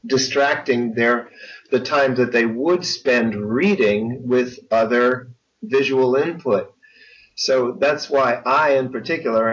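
An older man talks in a reflective interview style at a slow pace. His phrasing is articulate and measured. The sound is dull and sits away from the mic. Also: medium pitch, fairly bright tone, distant mic. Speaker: interview guest, audiobook narrator. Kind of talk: conversational interview.

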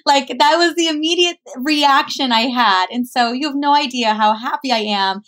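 A woman's voice: sits high, normal speed, fairly bright tone. A woman hosting an interview who talks with gushing, affectionate enthusiasm. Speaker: podcast host. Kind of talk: interview, casual chat.

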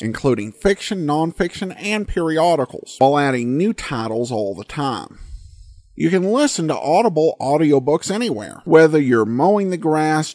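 A man's voice: on the high side, darkish tone, no room sound. A man hosting a podcast, giving commentary and sponsor reads into a close mic. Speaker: podcast host.

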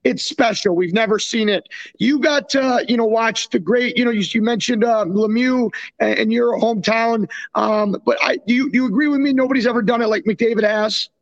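High-voiced man, slightly muffled lo-fi sound. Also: normal speed. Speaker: podcast co-host, former goaltender. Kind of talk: casual sports chat. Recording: dull, lo-fi radio-like sound.

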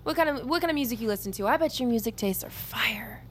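A woman's voice: a little high, lively intonation, fast, slight background noise, close to an everyday voice. An actress playing a brash, stubborn young woman in army training, with blunt, sarcastic delivery in an audio drama. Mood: sarcastic, playful. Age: young adult. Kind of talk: naturalistic audio fiction acting.

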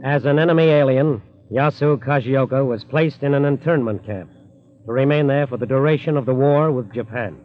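A man's voice: mid-range voice, a little fast. An American man giving dramatic, rhetorical scripted narration for a 1940s radio program. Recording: muffled 1940s radio recording. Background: faint music.

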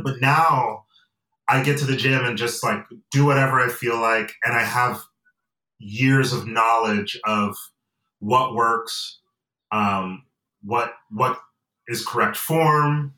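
A young man from Atlanta and Nigeria, a guest in a casual interview, talking reflectively with many pauses and fillers. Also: medium pitch, pushed and bright, distant mic. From United States and Nigeria. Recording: roomy and off-mic.

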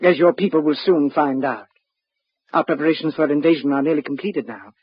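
An actor playing a coldly polite, menacing Martian villain in a 1950s radio drama, speaking formally and with gloating courtesy. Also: male voice, on the high side, a little fast, husky, no echo. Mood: gloating menace. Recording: muffled vintage radio recording.

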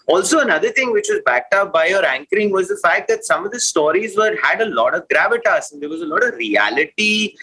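A man's voice: high-pitched, expressive, fairly quick, hardly any pauses, pushed and bright, husky, low-quality recording. A man from Delhi co-hosting an interview podcast, chatting with the guest and slipping Hindi words into his English. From Delhi, India. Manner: engaged, mixes Hindi into English. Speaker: podcast co-host. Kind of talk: casual interview chat.

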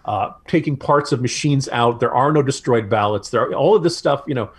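A man's voice: mid-range voice, fairly quick, breathy edge. An American man co-hosting a political podcast, giving opinionated commentary in an emphatic, sardonic way with mocking asides.

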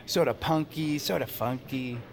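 A man's voice: mid-range voice, a little slow, creaky. An actor playing a friendly, slightly awkward male coworker, casual, hesitant and upbeat in audio drama dialogue. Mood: friendly, eager.

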